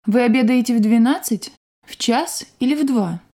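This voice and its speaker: A woman reading scripted sentences and exercise prompts for a language course in a clear, measured way. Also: fairly high pitch, warm tone. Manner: clear, measured, textbook delivery.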